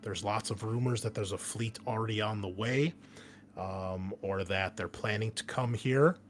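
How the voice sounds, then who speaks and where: A man's voice: lowish voice, moderate pace, a little hiss or hum. A man speaking as game master, explaining a tabletop roleplaying scenario in a conversational, improvised way. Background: background music.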